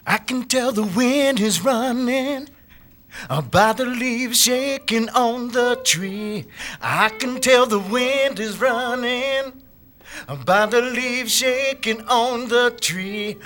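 A man's voice: very high-pitched, slow pace, fairly bright tone, exaggerated voice. An earnest young boy who won't go anywhere without his horse, as an actor puts him on. He speaks plainly and simply, with faint music under him. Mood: dreamy. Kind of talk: radio drama acting.

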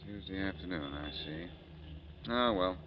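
A man's voice: deep voice, lively intonation, unhurried. A man acting a breezy, wisecracking amateur detective in 1940s radio style, with wry, teasing confidence. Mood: probing. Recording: muffled, noisy vintage 1940s radio recording.

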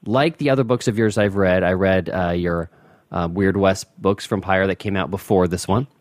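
A man hosting a podcast, asking questions in a relaxed, attentive way. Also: low voice, normal speed, no echo, clean studio sound. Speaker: podcast host. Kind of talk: casual interview.

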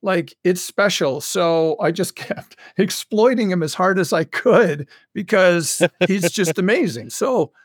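High-voiced man, enthusiastic interview guest. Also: measured pace, dry recording, clean studio sound. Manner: enthusiastic, animated storytelling with frequent emphasis. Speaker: guest, audio fiction creator and commercial voice director. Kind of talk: casual interview chat.

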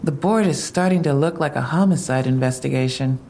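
An actress playing a wry, probing police detective in an audio drama, matter-of-fact and questioning. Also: female voice, rumbling low, a little fast, mellow, a little hiss or hum. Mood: wry, businesslike.

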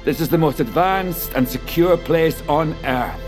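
An actor playing a grandiose, self-assured visionary scientist in an audio drama, speaking deliberately and theatrically. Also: male voice, fairly high pitch, over music, lightly acted. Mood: proud showman. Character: grandiose visionary male scientist host unveiling his underground biosphere.

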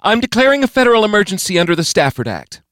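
A man's voice: sits high, big pitch swings, fast, a bit bright, dry recording, clean studio sound, voice pushed up. A man voicing a stern, commanding woman president, giving clipped, decisive orders in an audiobook. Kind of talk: audiobook character voice.

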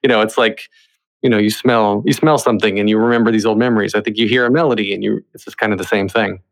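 A man's voice: fairly low pitch, fairly even intonation, fast talker, low-quality recording. Man hosting a conversational podcast interview. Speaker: podcast host.

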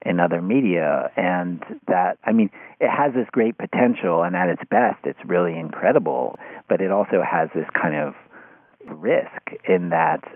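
A man talking through ideas in conversation, halting and searching, often repeating words as he goes. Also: low-pitched, no room sound. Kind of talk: conversational discussion. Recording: tinny narrow phone-like line.

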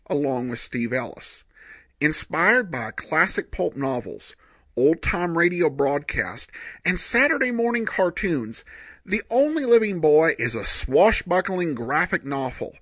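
A male podcast host with a slightly high voice gives commentary. His pitch swings in a lively way, with earnest, uneven emphasis. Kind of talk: podcast commentary and sponsor read.

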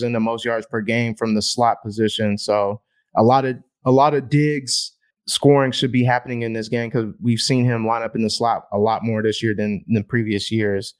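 A man co-hosting a fantasy football podcast in casual chat, reeling off numbers and repeating words as he thinks. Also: mid-range voice. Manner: stat-heavy, repeats words while thinking.

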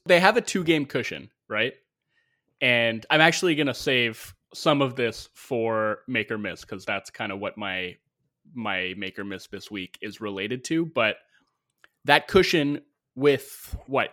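Bright-voiced, opinionated male co-host. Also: medium pitch, lively intonation, measured pace. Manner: opinionated, teasing, emphatic. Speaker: podcast co-host. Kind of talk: casual sports podcast chat.

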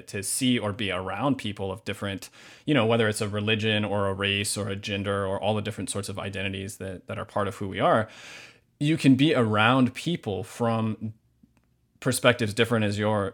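Man, American accent, low voice, earnest, reflective, conversational podcast guest, feeling out his phrasing. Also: fairly bright tone. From Hawaii, United States. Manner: earnest, reflective, searching for words. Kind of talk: thoughtful conversational interview answers.

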